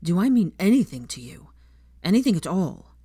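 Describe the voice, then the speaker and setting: A woman voicing an anguished, angry young man in a dramatic audiobook, forceful, bitter and pleading. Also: on the low side, big pitch swings, moderate pace, husky, dry recording, studio quality. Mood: desperate. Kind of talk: dramatic audiobook character acting.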